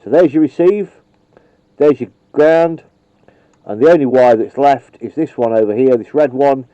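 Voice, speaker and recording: A man's voice: medium pitch, moderate pace, mellow, dry recording. Rambling, chatty man on lo-fi audio. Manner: rambling, chatty, with frequent ums and mild swearing. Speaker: radio hobbyist demonstrating wiring. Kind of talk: casual how-to demonstration. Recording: dull, lo-fi home video sound.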